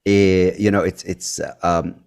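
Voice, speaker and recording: A man's voice: low voice, level tone, slow pace, a little mellow, smooth. A man hosting a podcast, talking casually and off the cuff in a rambling, hesitant way as he thinks aloud. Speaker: podcast host. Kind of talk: casual, unscripted podcast monologue and chat.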